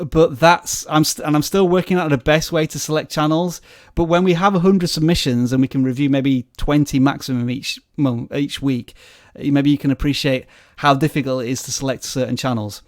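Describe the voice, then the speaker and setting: Chatty man, slightly high voice. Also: no echo, clean studio sound. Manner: rambling, self-deprecating, apologetic. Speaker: host. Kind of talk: live-stream Q&A chat.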